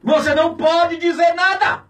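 A man in his fifties with a Brazilian accent, a pastor speaking out in an indignant, preaching tone on the radio, swinging between shouts and low, heavy words. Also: steady tone, normal speed, distant mic. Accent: Brazilian Portuguese. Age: 50s. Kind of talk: impassioned radio commentary. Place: small radio studio. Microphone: studio mic on a boom, filmed from a distance.

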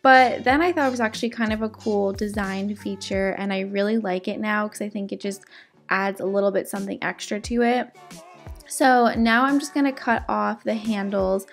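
A woman's voice: medium pitch, fairly even intonation, moderate pace, vocal fry, soft background music. A woman doing a casual, chatty crafting voice-over for her vlog. She is sick, and her voice cracks now and then. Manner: chatty, upbeat, fillers. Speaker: DIY vlogger. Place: home, indoors.

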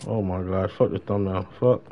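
A man's voice: low-pitched, steady tone, moderate pace. A young American man in casual, slangy livestream gaming chat, repeating short phrases. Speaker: livestreamer playing a roleplay game. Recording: dull, noisy game stream mic.

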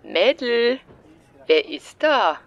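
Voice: medium pitch, sing-song, very slow, dull audio, background noise, heavily acted. An actor playing a doting, naive older mother, sweet and fussing, in a parody detective audio play. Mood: sweetly fussing.

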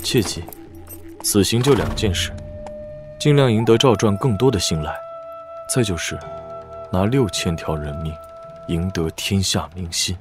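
An actor playing a calm, calculating blind male strategist in a wuxia audio drama, speaking in a measured, deliberate way. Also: deep voice, over music. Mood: grave, urging.

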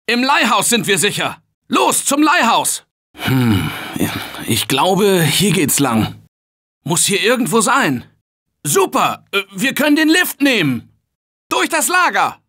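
A male actor playing a confident, energetic survivor in a German video game dub, shouting warnings and calling directions. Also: high voice, slow pace, exaggerated voice. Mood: determined. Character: upbeat male office worker survivor, confident. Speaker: game character.

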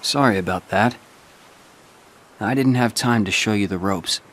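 A man's voice: lowish voice, a little slow, a bit bright, a little hiss or hum. A young man playing a brooding, self-sacrificing teenage boy warrior in a game cutscene, grave and restrained. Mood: somber, earnest. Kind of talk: video game cutscene acting.

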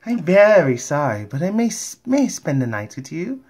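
A man's voice: fairly high pitch, sing-song, normal speed, darkish tone, husky, pitched above the performer's own voice. A man voicing a startled, questioning female cartoon pony in a fan comic dub. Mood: earnest.

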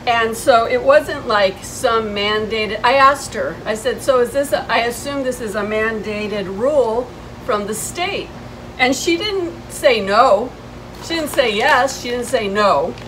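A middle-aged woman with a fairly high voice tells a personal story in a casual, conversational vlog style. She is indignant and leans hard on her points as she recounts exchanges. The camera stands a few steps away on an outdoor porch, with clearly audible ambient noise. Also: a bit bright, poor audio. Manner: indignant, emphatic, retelling exchanges. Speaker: vlogger. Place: covered porch outside a house.

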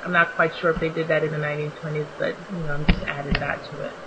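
A young woman with a slightly raspy, just-woken-up voice, narrating her makeup tutorial in a relaxed, chatty way with many ums. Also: sits low, fast talker, highs cut off, very noisy. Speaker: beauty vlogger. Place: small home bathroom.

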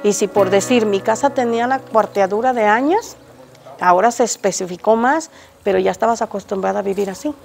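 An older woman with a Mexican Spanish accent, answering a reporter's questions outdoors on the street in front of her home. She speaks in a hesitant, halting way with frequent restarts. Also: medium pitch, vocal fry, darkish tone, soft background music. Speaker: interviewed resident. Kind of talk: street interview. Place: outdoors on a street by a house gate.

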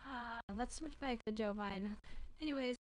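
A man's voice: very high-pitched, normal speed, slight background noise, voice pushed up, low-quality recording. A man speaking through a real-time AI voice changer as a feminine pop star. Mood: casual.

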